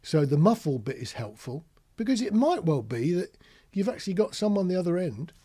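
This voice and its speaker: A man's voice: high voice, sing-song, a little fast, dark tone, husky. A British man giving an informal, practical tutorial, explaining things plainly with anecdotes as an instructor. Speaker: marine radio instructor.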